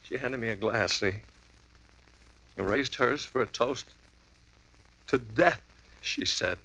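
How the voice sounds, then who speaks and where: A rattled man who wavers between dazed mumbling and near-hysterical insistence. His voice is bright and sharp-edged. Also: mid-range voice, slow pace, heavily acted, low-quality recording. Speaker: client telling a story. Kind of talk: 1950s radio drama acting.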